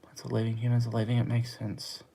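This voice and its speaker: A man's voice: mid-range voice, monotone, quick pace, gravelly, warm tone, slightly rough. A man with an Australian accent chatting casually on a livestream, answering viewer comments in a blunt, exasperated, emphatic way. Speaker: live streamer.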